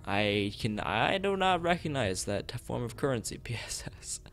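A man's voice: mid-range voice, big pitch swings, moderate pace, a bit bright. A man streaming a visual novel, reading it aloud and often tripping over words and correcting himself. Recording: music behind the voice.

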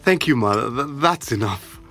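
An actor playing a polite young boyfriend in an audio drama. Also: male voice, mid-range voice, expressive, normal speed, fairly bright tone, breathy edge, soft background music. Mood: questioning, polite. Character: young boyfriend, polite to his girlfriend's mother.

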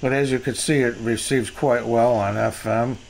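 An older man with an American accent gives a casual, unscripted product review in a halting, plain-spoken way. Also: medium pitch, fairly even intonation, gravelly. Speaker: gadget reviewer. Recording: noisy amateur home recording.

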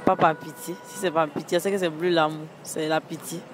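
Woman halting on a noisy street. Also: low-pitched, level tone. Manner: hesitant, halting, short phrases. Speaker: street interviewee. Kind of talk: vox pop answer. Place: city street at night beside traffic. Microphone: handheld mic held by interviewer. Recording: street traffic noise outdoors.

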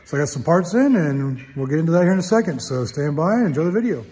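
A man's voice: on the high side, lively intonation, quick pace, mellow. A middle-aged man hosting a casual repair vlog, thinking aloud with frequent restarts. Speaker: channel host. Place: large metal garage workshop. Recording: echoey workshop with a long reverb and faint noise.

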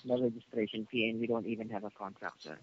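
A man's voice: lowish voice, fast. A man working as a telephone service agent, polite and formal, following a customer service script on a call. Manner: polite, formal, constantly says sir. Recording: muffled phone call with slight noise.

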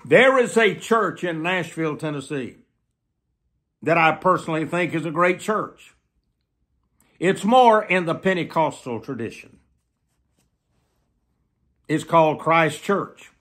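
An elderly man in his seventies preaching a solemn warning, deliberate, repeating phrases for emphasis. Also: a little high, fairly bright tone. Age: 70s. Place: small plain room indoors.

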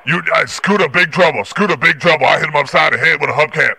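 A man's voice: on the high side, quick pace, creaky, sharp tone, slightly rough, no room sound, heavily acted. A man putting on a blustering jive-talking prank caller. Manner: slangy, threatening, repetitive trash talk. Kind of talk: prank phone call.

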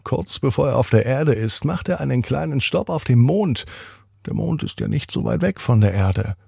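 A man narrating a bedtime story for children in a deliberate, expressive manner with drawn-out pauses. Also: medium pitch, vocal fry, slightly rough, dry recording, studio quality. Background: music.

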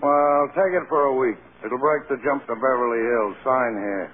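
An actor playing a curt, wisecracking apartment desk clerk in an old radio drama. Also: male voice, mid-range voice, normal speed, close to an everyday voice. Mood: dry, businesslike. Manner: curt, slangy, dry. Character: brusque, wisecracking male boarding-house desk clerk. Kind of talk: 1930s-40s American radio acting. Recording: tinny, noisy old radio broadcast.

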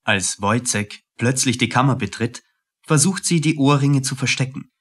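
A man's voice: medium pitch, moderate pace, fairly bright tone, husky. A man reading scripted narration for a radio play.

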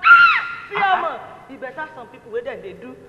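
A woman's voice: high voice, huge pitch swings, fairly quick, pushed and bright, raised voice, highs cut off, some chatter behind, heavily acted. A woman with a Nigerian accent acting a sassy, scornful clinic cleaner in a stage comedy. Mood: indignant. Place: indoor theatre stage, distant camera. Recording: noisy echoey stage hall recording.

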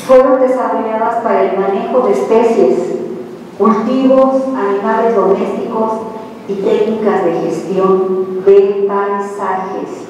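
Slow-speaking Mexican woman lecturing in a hall. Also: mid-range voice, fairly even intonation, darkish tone, a little hiss or hum. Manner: slow, deliberate lecturing with rhetorical questions to the audience. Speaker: researcher giving a lecture. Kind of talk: live conference talk. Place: large hall with a seated audience. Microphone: handheld mic, heard from far off. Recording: echoey hall, distant camera mic.

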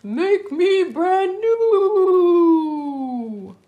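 A woman voicing a fussy, cheerful male snowman in a children's storybook, with exaggerated, drawn-out words. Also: very high voice, lively intonation, phone-quality sound, pitched above the performer's own voice, heavily acted. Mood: pleading.

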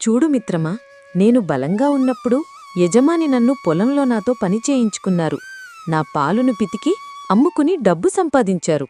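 An elderly, aggrieved cow from a storybook, played by a woman. The voice is a bit high and animated, over faint music. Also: normal speed. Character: aged cow, aggrieved at ungrateful owner. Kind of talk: storybook character acting.

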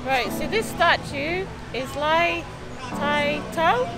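High-voiced young woman vlogging over music. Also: animated, slow. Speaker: travel vlogger. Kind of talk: casual vlog chat to camera. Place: mostly outdoors in busy city streets and squares.

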